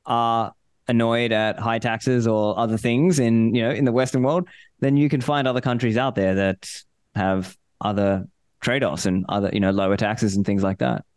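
A man raised in Australia chatting as a podcast guest, relaxed and thoughtful, with fillers and restarts. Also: mid-range voice, smooth, no echo, studio quality. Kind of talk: casual interview chat.

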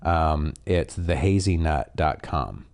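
A man from Canada co-hosts a casual podcast, his voice very deep and smooth with a faintly nasal edge. He talks in an easygoing, rambling way, full of ums and restarts. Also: slow pace. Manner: relaxed, rambling with fillers. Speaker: podcast co-host. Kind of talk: casual chat.

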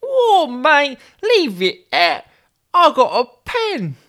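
A man voicing a cheeky, streetwise thirteen-year-old paperboy in a high-pitched voice. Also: huge pitch swings, takes it slowly, sharp tone, heavily acted. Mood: recoiling.

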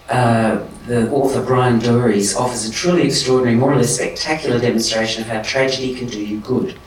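A man speaking as an interview guest, impassioned and emphatic, his pitch jumping up when he gets animated. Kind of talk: conversational interview. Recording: roomy and distant with faint noise.

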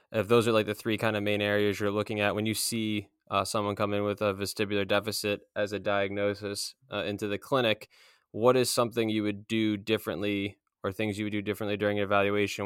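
A man hosting an interview podcast, talking in a relaxed, friendly way with frequent fillers. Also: fairly low pitch, clean tone, no room sound, clean studio sound. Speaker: podcast host.

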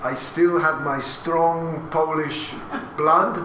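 A man in his sixties with an English accent talks to a live audience, his voice sitting a little high for a man. The sound is roomy and recorded from some distance, with audience noise behind him. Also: fairly even intonation, slow pace, bright, forceful tone. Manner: chatty and anecdotal, with asides and questions to the audience. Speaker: speaker giving a talk. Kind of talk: talk to a live audience about family history. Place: hall with an audience.

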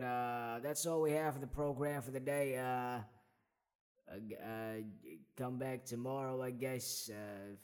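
A man's voice: medium pitch, darkish tone, lightly acted. A man playing a forgetful old-time radio announcer who fumbles through his sign-off with lots of hesitations. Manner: hesitant, forgetful, many ums. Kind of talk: 1950s radio play spoof.

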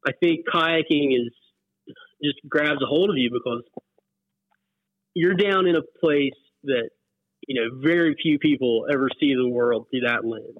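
A man's voice: medium pitch, a little slow, long pauses, dry recording. A man in his forties with an American accent, chatting casually and reminiscing as an interview guest. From West Virginia, US. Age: 40s. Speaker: interview guest, whitewater paddler. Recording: muffled phone-like line, dry.

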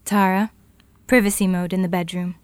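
A woman's voice: fairly low pitch, level tone, normal speed, smooth, close to an everyday voice. A woman playing a thoughtful, idealistic futurist in an audio drama, speaking in a reflective, earnest manner. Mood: fond.

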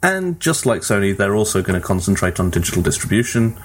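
A man's voice: lowish voice, big pitch swings, fast. A man chatting casually as a podcast host, with a wry, pedantic way of putting things. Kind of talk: casual gaming podcast chat.

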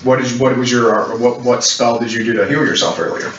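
A man acting as game master, describing scenes in a halting, explanatory way for a tabletop role-playing game. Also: mid-range voice, steady tone, a bit bright. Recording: roomy, muffled distant mic with clicks, hiss.

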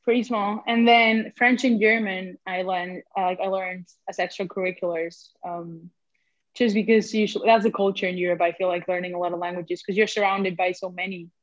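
A young woman with a Spanish accent, a native Spanish speaker, chatting as a student guest on a casual podcast. She laughs often and fills pauses with "um". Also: medium pitch, fairly even intonation, dry recording, muffled recording. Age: young adult. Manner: giggly, chatty, many ums.

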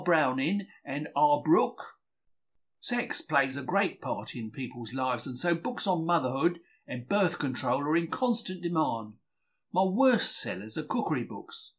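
A man acting 1940s street bookseller, chatty, wry, self-deprecating, highish voice, swooping intonation, diary reading. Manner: chatty, wry, plain-spoken. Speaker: reader.

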